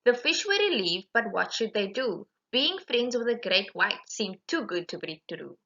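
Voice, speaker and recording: A woman reading a rhyming children's storybook aloud in an animated, emphatic way. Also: mid-range voice, unhurried, lo-fi sound. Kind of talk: expressive rhyming storybook narration.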